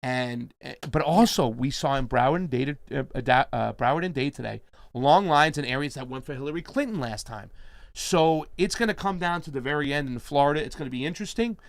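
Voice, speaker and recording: A man's voice: medium pitch, lively intonation, brisk, dry recording. A man hosting a live political commentary stream, chatting casually and reading out viewer comments. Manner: chatty, reads viewer chat aloud. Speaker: host.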